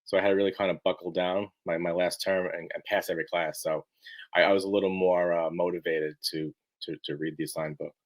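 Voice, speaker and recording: A man being interviewed, chatting casually in a halting, rambling way and often restarting his sentences. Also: deep voice, steady tone. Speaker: book editor being interviewed.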